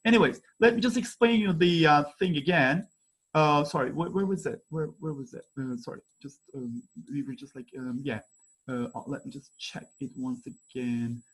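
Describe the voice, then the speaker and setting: A man with a Turkish accent giving an online conference presentation, halting, with many fillers and apologies. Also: fairly high pitch, breathy edge, rough recording.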